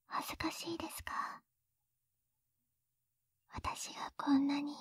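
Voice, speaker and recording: A woman's voice: sits high, a bit bright, dry recording. A woman playing a sweet, lovestruck young librarian in an ASMR roleplay, whispering breathily and tenderly, close to a binaural mic. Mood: tender teasing. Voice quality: breathy, mostly unvoiced whisper. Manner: tender, slow, intimate, polite. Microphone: close binaural microphone.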